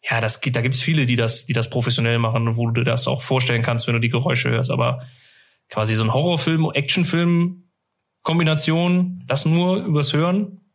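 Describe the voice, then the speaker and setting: A man's voice: medium pitch, steady tone, a little fast. A man hosting a fan podcast, chatting casually and colloquially in rambling sentences with frequent tag questions. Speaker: podcast host.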